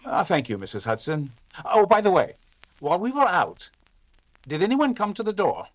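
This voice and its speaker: A man's voice: high voice, huge pitch swings, moderate pace, highs cut off, slight background noise. A man playing a composed, dismissive, wry detective in an old-time radio mystery, urbane in manner. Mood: curious.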